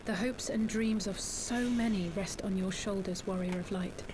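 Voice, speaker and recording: An actress playing an earnest, dignified noblewoman and loyal ally in a fantasy game, with formal, measured delivery. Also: mid-range voice, steady tone, noisy background, natural voice. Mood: earnest, hopeful. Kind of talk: dramatic fantasy video game acting.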